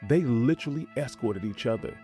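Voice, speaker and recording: A man with a medium-pitched voice narrates a scripted history piece. He delivers it as an emphatic storyteller, landing short, punchy statements. Faint music plays behind his voice. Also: animated, brisk, mellow. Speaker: narrator and host of a history show.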